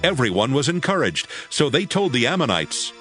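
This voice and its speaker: Male scripture narrator, mid-pitched, dramatic and lively, clear musical score underneath. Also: moderate pace, soft background music.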